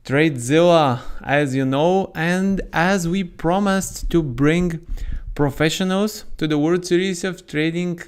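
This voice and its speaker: A man's voice: on the high side, clean tone. A man hosting a live stream, welcoming viewers formally and introducing his guest, with occasional hesitant filler sounds.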